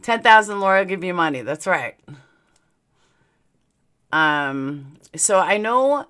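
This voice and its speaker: Casting: middle-aged female livestream host, New York accent, bright forceful voice, blunt, sweary, rambling chat, slight aligner-affected articulation. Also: fairly low pitch, animated, slow. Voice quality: speech slightly affected by clear dental aligners. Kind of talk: casual livestream chat with viewers.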